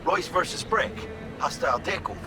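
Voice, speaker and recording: A man's voice: high voice, brisk. A brash, jovial street fighter swings his voice up and down with energy. It is game dialogue with music under it. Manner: lively, animated, with Spanish slang. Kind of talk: video game voice acting.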